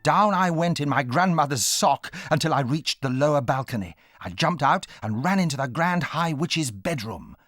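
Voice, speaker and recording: A man's voice: fairly high pitch, expressive, moderate pace, few pauses, a bit bright, no room sound, clean studio sound, soft background music. A man acting as the narrator of a children's audio drama, recalling his own adventure with dramatic storytelling. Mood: absorbed, suspenseful.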